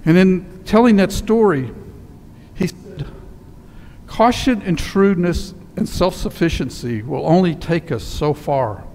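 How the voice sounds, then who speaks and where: An older male priest preaching from the front of a church, his slightly high voice carrying through a long reverb. He tells stories plainly and sinks to quiet, slowed emphasis, with a faint hum of the room behind him. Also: expressive. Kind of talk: sermon. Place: church sanctuary in front of altar. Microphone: headset mic.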